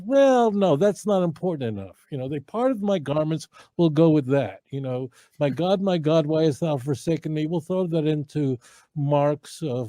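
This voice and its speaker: A man whose first language is Hebrew argues his point on a podcast, leaning on rhetorical questions. His voice sits fairly high and very mellow. The recording is dry and close. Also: dry recording. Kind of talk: casual podcast chat.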